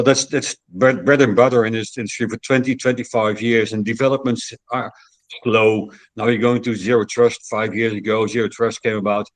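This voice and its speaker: A man's voice: medium pitch, no echo. A man explaining a technical idea on a panel, earnest, often repeating words as he thinks. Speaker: conference speaker.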